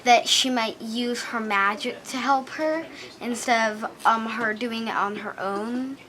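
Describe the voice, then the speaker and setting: A woman's voice: fairly high pitch, unhurried, bright, forceful tone. A woman answering casually in a book discussion.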